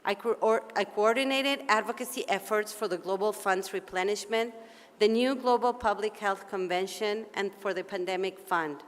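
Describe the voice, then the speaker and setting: A woman from Argentina, a native Spanish speaker with an Argentine accent, opening a conference session in formal, measured English. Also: mid-range voice, steady tone, big-room sound. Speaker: session moderator. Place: large conference hall.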